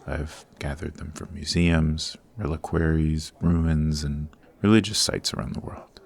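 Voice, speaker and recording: A man playing a suave, formal supervillain boss in an ASMR roleplay, patient and exacting, with measured, instructive speech. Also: extremely low pitch, fairly even intonation, creaky, a little mellow, heavily acted. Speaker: voice actor.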